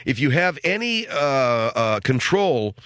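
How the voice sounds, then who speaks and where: A man's voice: mid-range voice, very animated, slow, fairly bright tone, smooth, no echo, studio quality. An American man hosting casual, rambling talk radio with a wry, grumbling, sardonic manner. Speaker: radio talk show host. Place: radio studio. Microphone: close studio microphone.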